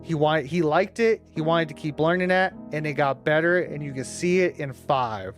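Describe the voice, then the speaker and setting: Guy streamer chatting w his viewers, bit high pitched, informal n teasing, clear music in the background. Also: normal speed. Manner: informal, sweary, teasing banter with chat. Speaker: livestreamer hosting a watch-along. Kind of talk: casual livestream chat with viewers.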